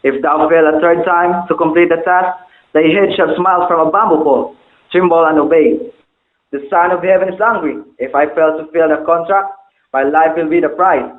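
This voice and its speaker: Man acting an anxious father, high-pitched, echoey. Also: fairly even intonation, normal speed, lightly acted. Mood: grave, urgent. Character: anxious, stern father, a master bell-maker, dutiful. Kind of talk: amateur stage-play dialogue, formal archaic English. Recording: muffled, phone-like, echoey room.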